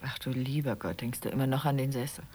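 Very deep, husky, chiding wife. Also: female voice, fast talker, a little mellow. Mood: irritated. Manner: matter-of-fact, chiding. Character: stubborn, reproachful wife, a frustrated would-be writer. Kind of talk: radio drama acting.

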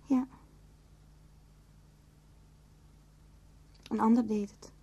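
A woman's voice: fairly high pitch, steady tone, a little fast, vocal fry, warm tone, husky, no echo. A woman acting in a radio play as a shy, earnest seventeen-year-old girl in love, hesitant and polite. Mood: guarded. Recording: dull, muffled old radio play recording.

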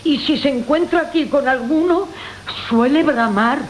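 A high-pitched, greedy older Castilian mother from a classic radio farce. She is sly and scheming, coaching and wheedling theatrically. The old broadcast carries a faint hiss. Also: a little slow, poor audio. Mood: feigned piety. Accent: Castilian Spanish.

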